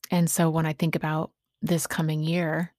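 A woman in her late forties giving a reflective personal monologue as a podcast host, speaking haltingly in short phrases with a breathy, husky voice. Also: low-pitched, little pitch movement, darkish tone, no room sound, clean studio sound. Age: late 40s.

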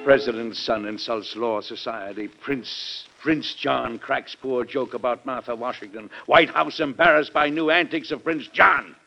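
An actor playing a dignified, worried American president and father in an old-time radio drama, formal and measured with some hesitation. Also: medium pitch, gravelly, sharp tone, soft background music. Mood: stern, exasperated. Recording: dull, band-limited old radio recording.

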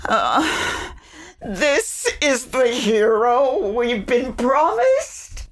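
A woman's voice: on the high side, very animated, extremely slow, a bit bright, exaggerated voice. A woman playing an eccentric older witch, a grandmother in a magical realm, with a theatrical, muttering, exasperated manner in a fantasy audio drama. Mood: indignant, exasperated. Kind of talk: audio fiction fantasy acting.

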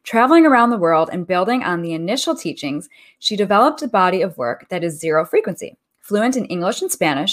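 A woman's voice: medium pitch, fairly bright tone. Friendly woman radio host. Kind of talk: interview host reading a guest bio.

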